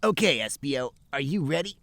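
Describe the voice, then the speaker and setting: A man's voice: fairly high pitch, animated, moderate pace, fairly bright tone, no room sound, pitched above the performer's own voice. A man voicing an earnest, eager teenage cartoon hedgehog in a comic fan fiction reading. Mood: eager, chatty.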